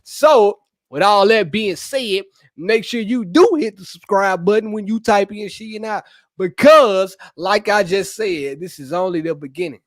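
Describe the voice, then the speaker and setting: Hyped high-voiced man hosting a podcast. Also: a little slow, dry recording, clean studio sound. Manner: playful, hyped, slangy banter. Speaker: podcast host. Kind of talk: casual chat with spouse.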